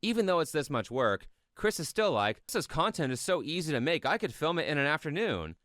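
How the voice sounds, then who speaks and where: A man's voice: on the high side, very animated, brisk, a bit bright, dry recording. Blunt male commentary narrator, dry sound. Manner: blunt, critical, pointed. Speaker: commentary YouTuber.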